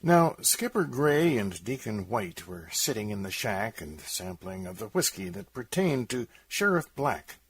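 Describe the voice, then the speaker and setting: A man with a theatrical, expressive delivery, introducing and reciting comic narrative verse as a radio host. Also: medium pitch, measured pace, few pauses, breathy edge.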